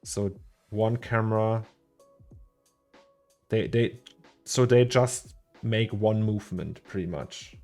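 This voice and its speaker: A low, gravelly man with a German accent talks his way through a fiddly software problem on a livestream. He repeats words as he thinks and chuckles between thoughts, with soft music playing behind him. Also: steady tone, takes it slowly, long pauses. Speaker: 3D artist streaming his work. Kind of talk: casual livestream chat while working.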